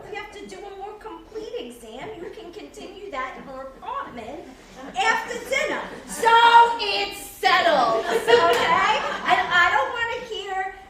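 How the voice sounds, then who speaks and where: Woman acting bossy, high-voiced meddler in echoey hall. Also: pushed and bright, full character voice. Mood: insistent. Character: bossy, sarcastic, meddling woman, matchmaking for her friend. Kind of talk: staged play reading. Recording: distant echoey stage recording with noise.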